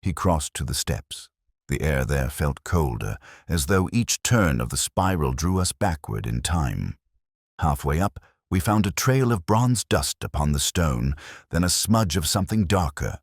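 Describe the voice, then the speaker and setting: A man reading a Victorian detective mystery as a measured, literary first-person story. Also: a bass voice, sing-song, husky, no room sound, clean studio sound. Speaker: audiobook narrator.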